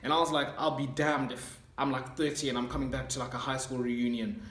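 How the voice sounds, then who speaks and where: A man's voice: mid-range voice, fairly even intonation, brisk, fairly bright tone, echoey room. A man in his twenties talking earnestly and casually about self-improvement, with many fillers and restarts. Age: 20s. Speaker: self-improvement channel host. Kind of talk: casual motivational monologue.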